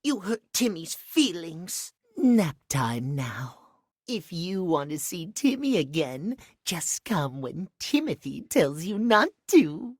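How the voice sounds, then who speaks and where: A man's voice: high voice, very animated, slow, dry recording, studio quality, exaggerated voice. A man voicing a cutesy, childish, pouty catlike creature in a video game, talking in baby talk. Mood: pouty, sleepy. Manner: cutesy baby talk, talks in third person, with cat noises. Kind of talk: video game character voice acting.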